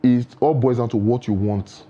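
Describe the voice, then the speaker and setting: A man's voice: mid-range voice, steady tone, normal speed, mellow, muffled recording. A man speaking as a guest in a studio interview, giving earnest advice full of proverbs, with frequent restarts. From Nigeria.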